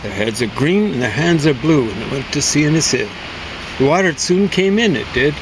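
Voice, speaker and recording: Man outdoors with clear traffic noise. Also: fairly high pitch, expressive, fairly quick. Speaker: poem reader and reviewer. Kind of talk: casual chat and poem reading. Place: outdoors on a deck.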